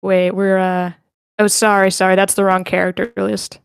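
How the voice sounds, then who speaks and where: Casting: informal female host of an online script read, mid-pitched, closely recorded with little room sound. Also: flat delivery, normal speed, creaky, clear, resonant tone, no echo, studio quality. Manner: informal, chatty. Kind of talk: casual chat, organizing a cast.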